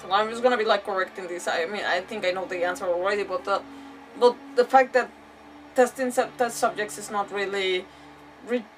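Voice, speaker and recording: A woman's voice: medium pitch, a bit bright, recorded from a distance, slight background noise, low-quality recording. A woman game streamer giving casual live commentary, thinking aloud with exclamations and mild swearing.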